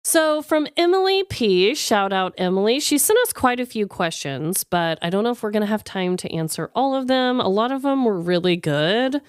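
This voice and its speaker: A woman's voice: mid-range voice, expressive, normal speed, few pauses, clean tone, no room sound, studio quality. A woman co-hosting a podcast in casual, candid chat, emphatic and slangy, with some swearing. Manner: candid, emphatic, profane, talking in slangy asides. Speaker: podcast co-host.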